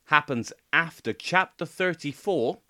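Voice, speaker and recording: A man's voice: fairly high pitch, animated, unhurried, pushed and bright. A man with a British accent co-hosting a casual podcast chat. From United Kingdom. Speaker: podcast co-host.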